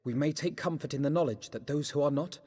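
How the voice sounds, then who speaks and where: An actor playing an earnest, eloquent young nobleman in a game, with a formal, measured British delivery. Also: a little high, a little mellow, breathy edge. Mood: earnest, resolute. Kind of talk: formal scripted game dialogue. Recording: long hall reverb.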